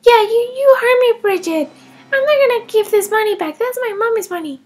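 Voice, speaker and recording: A woman voicing a defiant, bratty kindergarten girl in a comedy skit, with a high, whiny child's voice and a sassy, stubborn way of talking. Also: animated, brisk. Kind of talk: comedy skit role-play.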